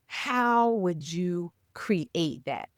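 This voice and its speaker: An upbeat woman hosting a podcast and working as a coach. She speaks slowly and deliberately, often turning to rhetorical questions for her listeners. The sound is dry and close. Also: fairly low pitch, expressive, no room sound. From Mexico.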